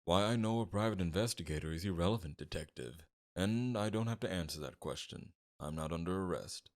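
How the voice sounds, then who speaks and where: A very deep-voiced, smug mob boss played by a man in an audio roleplay. He talks with heavy fry, suave and teasing, flirting freely while keeping a menacing edge beneath it. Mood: businesslike, guarded. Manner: teasing, suave, drawn-out flirtation with veiled threats. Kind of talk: ASMR roleplay, scripted character acting.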